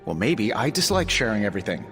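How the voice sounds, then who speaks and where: A man's voice: lowish voice, sing-song, quick pace, fairly bright tone. A man playing a cocky, defensive genius hero in a video game, sarcastic and flippant. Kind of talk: video game cutscene acting. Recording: long reverb, music behind.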